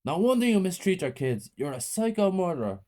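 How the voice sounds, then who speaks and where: A man voicing a brave female schoolteacher who speaks in a shrill, indignant way. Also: big pitch swings, a little fast, dark tone. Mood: outraged.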